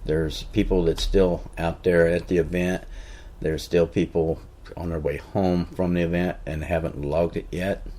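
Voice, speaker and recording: Slow, deep-voiced man, rambling. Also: flat delivery, dark tone. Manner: rambling, with many "uh" fillers and restarts. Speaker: podcast host. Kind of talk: casual podcast talk.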